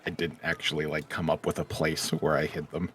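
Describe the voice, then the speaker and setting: A man's voice: rumbling low, level tone, a little fast, fairly bright tone, a little hiss or hum. A man chatting casually as a player in a tabletop role-playing game.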